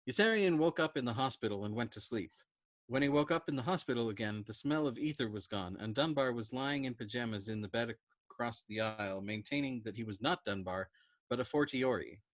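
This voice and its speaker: Male storytime reader, expressive novel narration, mid-range pitch, dry recording. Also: dry recording.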